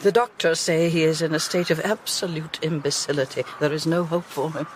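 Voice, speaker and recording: An actor with a British accent playing a kindly, concerned older Victorian gentleman, grave and solicitous, in a radio drama. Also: on the high side, fairly even intonation, a little fast, slight background noise, natural voice, low-quality recording. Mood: grave, tender.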